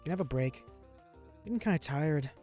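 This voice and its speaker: A man's voice: on the high side, lively intonation, a little fast, dark tone, faint music behind, voice pushed down, lightly acted. A man voicing a meek, put-upon young male waiter, reading game lines hesitantly. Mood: tired. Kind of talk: voicing game dialogue during a playthrough.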